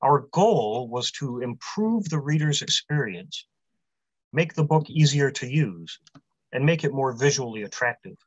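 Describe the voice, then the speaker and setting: A man in his forties, a publisher, giving a prepared convention talk from a small room over a video call, in a measured delivery that runs through long lists. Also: medium pitch, expressive, breathy edge, dry recording. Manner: measured, list-heavy delivery. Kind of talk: prepared talk read aloud. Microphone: webcam on a video call. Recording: dry, slightly muffled webcam audio.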